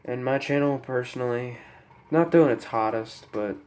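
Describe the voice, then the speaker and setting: A man's voice: medium pitch, normal speed, darkish tone. A young man with a head cold talking unscripted to camera, congested, somber and hesitant, as a YouTuber apologetically addressing his viewers. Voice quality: stuffy, congested. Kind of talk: unscripted personal talk to camera. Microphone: camera microphone.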